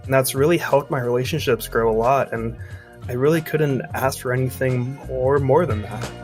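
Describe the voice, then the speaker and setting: Twenties male, stuttering speech with sound repetitions, soft guitar music underneath. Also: mid-range voice, moderate pace. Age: 20s. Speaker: speech-language pathologist and YouTuber who stutters. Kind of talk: personal recorded testimony.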